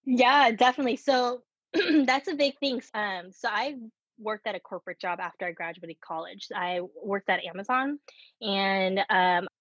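Candid woman with a slightly husky voice. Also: medium pitch, moderate pace, a bit bright, no echo, muffled recording. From United States. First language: Korean. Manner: candid, laughs often while telling stories. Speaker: startup co-founder guest. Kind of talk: casual interview conversation.

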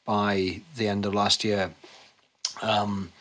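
A man's voice: on the low side, level tone, takes it slowly, fairly bright tone. Opinionated man in casual conversation. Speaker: podcast guest, policy economist and author.

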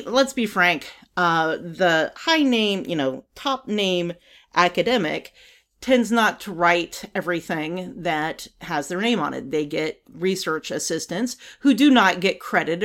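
An older woman from the United States hosting her own podcast, talking casually with many fillers, restarts and wry laughs. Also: on the low side. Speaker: podcast host. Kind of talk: casual solo commentary.

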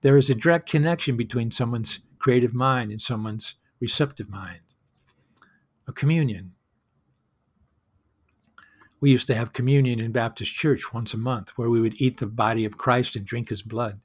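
A man's voice: medium pitch, moderate pace, long pauses, husky. A man hosting a solo storytelling show, speaking in a reflective, conversational and wry way, as in a personal monologue.

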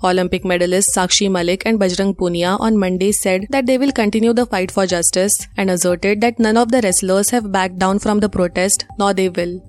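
A woman's voice: mid-range voice, steady tone, brisk, hardly any pauses, darkish tone, no echo, soft background music. A woman with an Indian accent presents scripted news updates as a newsreader.

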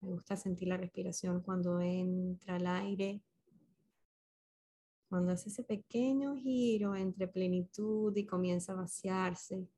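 A woman leading a guided meditation as a facilitator, speaking gently and unhurriedly with pauses between short instructions. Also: fairly low pitch, steady tone.

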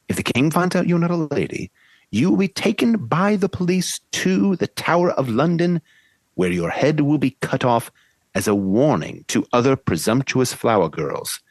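A man's voice: mid-range voice, no room sound. A man playing an overbearing upper-class English professor, delivering grand, mock-solemn threats and promises.

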